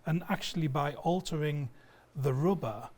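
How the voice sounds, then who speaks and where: A man's voice: fairly high pitch, normal speed, a little mellow. A British man in his fifties explaining a product as a sales representative, enthusiastic, often repeating words as he goes. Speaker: company sales representative, guest. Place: indoor audio showroom. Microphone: lapel mic.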